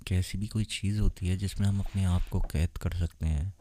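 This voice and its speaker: A man's voice: sits low, steady tone, normal speed, dark tone. A man playing a naive, intense and protective young vampire boyfriend in a romantic ASMR audio drama, earnest and dramatic. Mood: wistful. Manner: earnest, dramatic, puzzled questioning.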